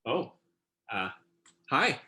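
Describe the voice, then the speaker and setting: A man's voice: mid-range voice, sing-song, extremely slow, sharp tone, full character voice. A man playing a nervous, eager-to-please young boyfriend in a staged reading, hesitant, stammering and apologetic. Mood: tentative, eager. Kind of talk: staged reading over video call.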